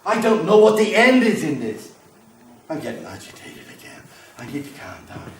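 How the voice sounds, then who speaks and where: A man's voice: mid-range voice, very animated, moderate pace, natural voice. An actor playing a volatile, boastful yet lonely man who believes he is fire, in a rambling theatrical monologue that swings between grandiose and apologetic. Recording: echoey room, distant mic, faint noise.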